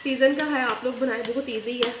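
A woman's voice: a little high, fairly even intonation, fairly quick, very noisy. A woman hosting a home cooking channel, chatting directly to viewers as she demonstrates a recipe step by step at her kitchen stove. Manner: chatty, addresses viewers directly, often adds a pious phrase. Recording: echoey kitchen with loud sizzling and clanking pans.

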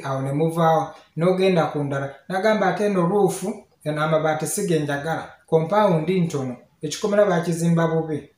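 A man in his thirties with a Ugandan accent, giving informal, explanatory tips as a property consultant, often checking in with short questions. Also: fairly high pitch. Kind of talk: informal instructional talk, mixing two languages. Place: small indoor office with hard walls. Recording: echoey small room.

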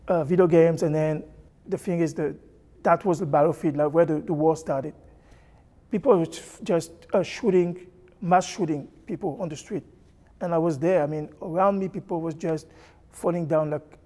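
A middle-aged man from Congo, seated indoors with a lapel mic, giving an earnest personal testimony and often pausing and restarting. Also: fairly high pitch, dark tone. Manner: earnest, halting, with many fillers and restarts. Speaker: church member sharing testimony. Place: church hall with stage, indoors.

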